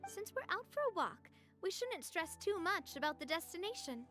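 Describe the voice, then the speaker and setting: An actress playing a cheerful young female gang leader in a game, gracious and lively. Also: very high-pitched, moderate pace, soft background music, exaggerated voice. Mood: easygoing. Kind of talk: game voice acting.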